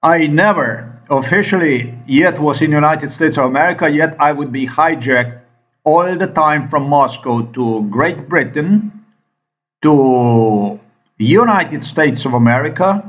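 A man with a Slovenian accent delivers an off-the-cuff commentary at a slow pace. His voice sits slightly high, and his delivery is halting and emphatic. The sound is dull and lo-fi. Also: fairly bright tone. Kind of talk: unscripted monologue commentary over screen recording.

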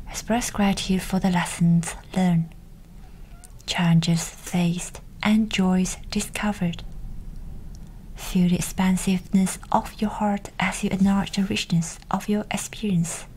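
A woman with a breathy, whispery voice leads a scripted guided meditation in a soothing, unhurried way, with pauses between instructions. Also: on the low side, steady tone, darkish tone, slight background noise, rough recording. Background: music.

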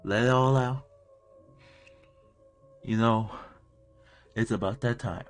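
A young man in his twenties hosts a reaction video at home, chatting excitably and swearing. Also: mid-range voice, takes it slowly, faint music behind. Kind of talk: casual chat. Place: home room with curtains.

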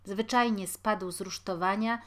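A woman's voice: medium pitch, steady tone, a little slow, close to an everyday voice. A woman reading a plain-spoken working cooper in a storybook. He answers simply and folksily, in a voice close to her everyday one.

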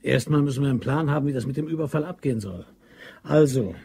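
A man's voice: mid-range voice, fast, creaky, mellow, slightly rough. An actor in a German crime radio drama playing a pushy, determined young man plotting a robbery, insistent and goading. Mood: businesslike.

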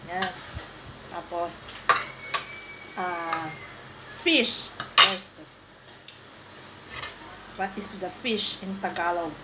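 A woman's voice: fairly low pitch, extremely slow, long pauses, very noisy. A middle-aged woman with a Filipino accent, chatting casually at a home dinner table and quizzing her cousin, laughing and mixing Tagalog with English. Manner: playful, laughing, switching between Tagalog and English. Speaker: vlogger. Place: home dining room at a table. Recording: clattering dishes and cutlery nearby.

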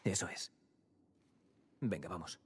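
Low-voiced young Castilian survivor. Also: male voice, slow pace, husky, full character voice. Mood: stern, then relaxed. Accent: Castilian Spanish. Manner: casual, streetwise, colloquial. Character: young male survivor, protective, easygoing older brother. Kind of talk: video game dub acting.

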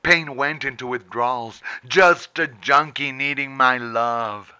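A middle-aged man reciting his own poetry as dramatic spoken word, intense and theatrical, with long pauses and emphatic bursts, close to a camera indoors. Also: medium pitch, bright, forceful tone, clear, resonant tone. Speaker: poet reading own poem. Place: indoors, small book-lined room. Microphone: handheld camera very close to face.

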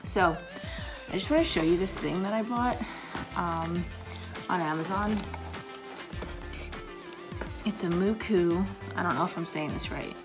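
A woman in her thirties talks loosely and chattily at her kitchen table, her voice low and a bit raspy. Plenty of fillers and restarts. Background music runs clearly under her voice. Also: moderate pace. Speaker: vlogger, paramedic. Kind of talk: casual coffee chat to camera. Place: home kitchen, indoors.

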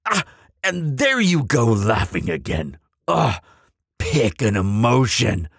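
A man voicing a proud, grumpy but soft-hearted bogeyman in an audio roleplay, with a gravelly rasp and a pompous, easily flustered delivery. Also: medium pitch, big pitch swings, slow pace, no echo, studio quality, full character voice. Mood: exasperated. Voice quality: raspy, gravelly. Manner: pompous, flustered stammering, dramatic.

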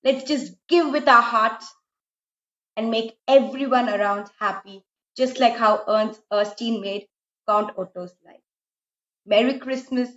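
A middle-aged woman tells a story for children in a dramatic, expressive way, with emphatic repetition. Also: on the high side, unhurried. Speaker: storyteller, host. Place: small room indoors at home. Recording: roomy, slightly dull home recording.

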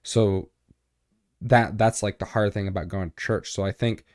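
Young guy podcasting at home, low boomy voice, lots of "like" and "um", dry close mic. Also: normal speed, dry recording. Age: young adult. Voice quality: deep and boomy. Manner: relaxed, rambling with many fillers. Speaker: podcast co-host. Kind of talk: casual chat. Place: small home studio room. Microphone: studio mic on boom arm.

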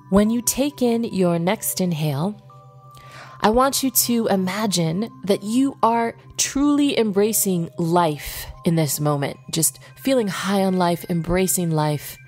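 A woman leading a guided meditation in an unhurried way, pausing between short phrases. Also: lowish voice, darkish tone.

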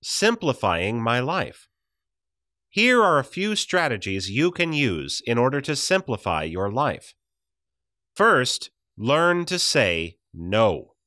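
A man narrates a devotional audiobook at an unhurried pace, enunciating with care. His pitch moves in lively swings across phrases. The sound is dry and close, free of room reflections. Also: no room sound. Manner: measured, deliberate enunciation.

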